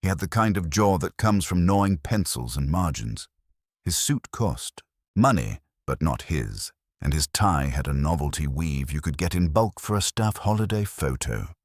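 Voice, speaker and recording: A man's voice: very deep voice, animated, normal speed, no echo. A man reading a hard-boiled detective story in the first person as scripted audiobook narration, with a dry, wry manner.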